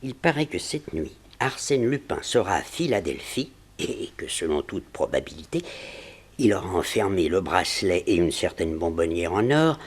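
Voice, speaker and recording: An actor playing a composed, courteous gentleman client with polite, formal speech in a 1960s French radio drama. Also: low voice, very animated, normal speed, husky, exaggerated voice. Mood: diplomatic, informative.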